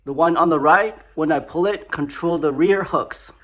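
Man, slightly high voice, unhurried how-to tutorial delivery, recorded in a garage. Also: a bit bright. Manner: slow, methodical, explaining each step. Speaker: DIY instructor. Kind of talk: step-by-step how-to narration. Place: home garage.

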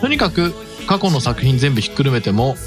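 A man's voice: medium pitch, very animated, brisk, music in the background. A man in his thirties co-hosting a podcast, giving a review and reading out a quiz. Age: 30s. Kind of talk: casual podcast chat.

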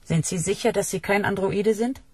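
A woman's voice: mid-range voice, normal speed, heavy background noise, lightly acted. A woman playing a captured female android in a German radio drama, poised and bitterly resigned.

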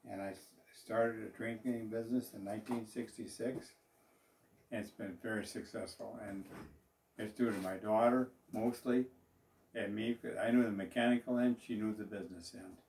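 Older man, gravelly, roomy office. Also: fairly low pitch, fairly even intonation, moderate pace, darkish tone, a little hiss or hum. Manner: plain, rambling, with fillers and restarts. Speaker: business owner. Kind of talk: speaking to camera about his business. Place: small office, indoors. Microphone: far camera. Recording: roomy echo, voice from a distance.

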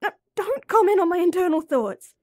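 A woman's voice: very high voice, expressive, normal speed, dry recording, studio quality. A woman voicing a young woman with a crush on her childhood friend, teasing playfully and muttering whispered asides to herself. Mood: shy confessing. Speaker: voice actor. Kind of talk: romantic roleplay audio.

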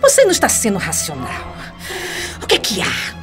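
A woman's voice: medium pitch, huge pitch swings, normal speed. An actress playing a scheming, domineering woman in a Brazilian radio soap opera, mocking and theatrical. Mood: threatening, taunting. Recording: music bed under the voice.

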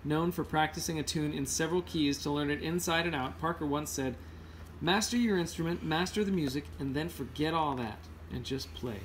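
A man's voice: a little high, brisk, a little hiss or hum. A man reading a children's picture book aloud informally, now and then stumbling and correcting himself. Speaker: read-aloud host.

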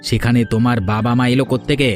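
A man's voice: medium pitch, fairly even intonation, rapid-fire, soft background music, natural voice. A man voicing a grumbling, selfish, defensive village husband in a cartoon. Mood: grumbling, irritated. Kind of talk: Bengali cartoon dialogue.

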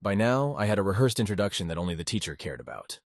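A man's voice: sits low, very animated, fast, no room sound, clean studio sound. A man playing a jaded, awkward teenage student in a visual novel, speaking with wry detachment. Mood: detached, wry. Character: jaded, awkward teenage male human student narrating his life. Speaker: narrator and lead voice actor. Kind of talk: first-person visual novel narration and dialogue.

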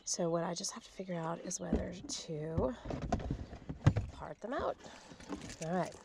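A woman's voice: fairly low pitch, unhurried, vocal fry. A woman chatting casually to viewers in a folksy, wry way while vlogging. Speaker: vlog host, reseller at yard sales. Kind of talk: casual first-person vlog chat to viewers. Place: yard sales outdoors, driveways and car trunk. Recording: noisy outdoor handheld action-camera audio.

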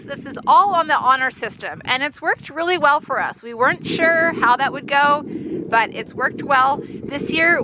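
A woman's voice: high-pitched, background noise. A woman in her thirties chatting upbeat in a casual vlog, filmed outdoors at a rural farm stand on a phone held at arm's length. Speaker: homesteading vlogger.

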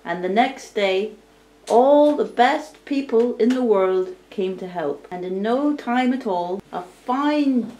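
A woman narrating a storybook tale live for a puppet show, in a deliberate, theatrical storytelling manner. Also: a little high, animated, dark tone. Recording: roomy, distant amateur recording.

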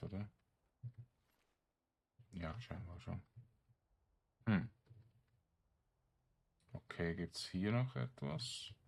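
A man's voice: sits low, darkish tone. A man giving casual let's play commentary, muttering to himself in a hesitant way and trailing off. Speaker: gaming streamer narrating his own play.

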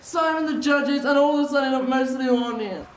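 A man's voice: extremely high pitch, brisk. A young man talking emotionally and defensively as an upset talent show contestant. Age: young adult. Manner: aggrieved, defensive. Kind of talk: emotional unscripted talk. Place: large theatre stage and backstage corridors. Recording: noisy theatre with audience noise.

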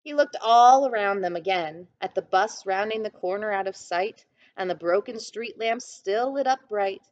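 A young woman giving an expressive read-aloud of a children's picture book. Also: medium pitch, moderate pace, dull audio. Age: young adult. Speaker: storybook reader. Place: library room with bookshelves, indoors.

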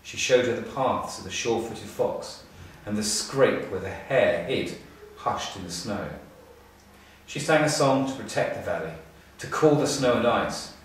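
A middle-aged man narrating a children's storybook in a measured, expressive way with pauses. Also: lowish voice, slight background noise. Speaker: storybook reader, school staff. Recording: echoey room, distant mic.

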